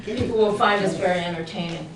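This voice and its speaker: A woman's voice: mid-range voice, a crowd in the background. Woman chairing a meeting, echoey and distant. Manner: formal, measured, with light asides. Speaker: board chair. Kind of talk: reading meeting procedure aloud. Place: town meeting room. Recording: echoey, distant, noisy public-access broadcast.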